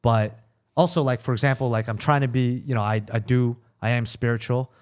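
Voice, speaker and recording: A man with an average-pitched voice talks candidly as a guest. He is introspective and halting, searching for words as he goes in a casual conversational style. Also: steady tone, smooth. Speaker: podcast guest.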